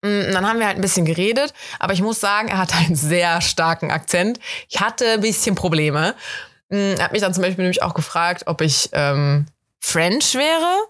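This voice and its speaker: A young woman from Germany, hosting a podcast, telling a story in casual, chatty fashion and acting out the quoted bits of dialogue. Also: a little fast. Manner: chatty and animated, voices quoted dialogue with big pitch jumps. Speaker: podcast host.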